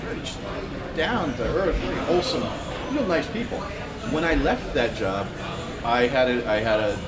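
Animated man telling funny stories. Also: mid-range voice, moderate pace, heavy background noise. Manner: self-deprecating, animated, laughs often. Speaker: guest speaker. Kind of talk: casual storytelling talk. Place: meetup room in a store, in front of a screen. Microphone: far camera. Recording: echoey room with loud ambience.

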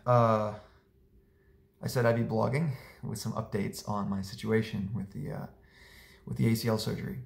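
Hoarse, gravelly young man in an echoey room. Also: on the low side, moderate pace, long pauses, far from the mic. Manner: relaxed and chatty, with many fillers. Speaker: vlogger. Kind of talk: casual recovery update. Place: small living room indoors. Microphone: camera a few meters away.

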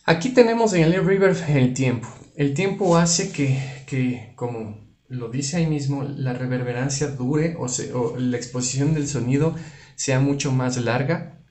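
A man giving an informal guitar effects tutorial, explaining things in a halting way with restarts. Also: medium pitch, darkish tone. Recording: roomy, reverberant room sound. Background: electric guitar playing.

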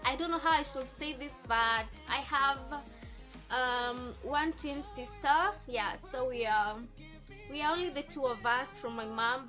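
A Kenyan woman in her twenties chatting to the camera, answering get-to-know-me questions and repeating herself as she goes. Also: sits high. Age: 20s. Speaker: vlogger. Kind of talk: casual chat to camera. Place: indoors in front of a patterned backdrop. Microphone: camera facing her at close range.

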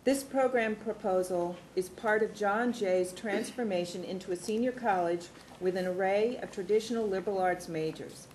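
A woman's voice: medium pitch, moderate pace, few pauses, darkish tone, slight background noise, low-quality recording. A woman in her sixties reading formal program summaries at a board meeting in a large conference room, on a table microphone. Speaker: university administrator presenting programs.

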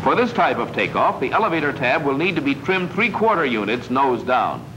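A man's voice: mid-range voice, big pitch swings, brisk, background noise. An American man reading scripted instructional narration in a precise 1940s training film style, on a vintage soundtrack.

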